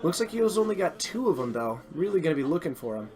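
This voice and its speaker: A man's fairly high voice, strained as he slowly loses it, runs a live card game stream. He chats eagerly, hypes the match and laughs at his own slips. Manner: chatty, excitable, self-deprecating. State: losing his voice. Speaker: stream host and commentator.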